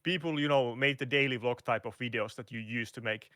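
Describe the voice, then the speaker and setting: A man with a Finnish accent talks through a casual interview, searching for words and restarting often. His voice comes across bright and forceful. The sound is close and dry, with no room around it. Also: medium pitch, fairly quick, dry recording. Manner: hesitant, restarts and fillers, non-native phrasing. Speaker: guest content creator. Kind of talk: casual interview over a call.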